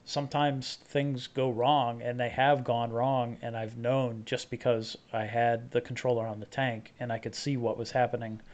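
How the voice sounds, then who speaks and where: A middle-aged man hosting a casual chat, relaxed and conversational. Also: mid-range voice, normal speed, few pauses. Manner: relaxed, conversational, short affirmations. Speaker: host. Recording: dull lo-fi audio.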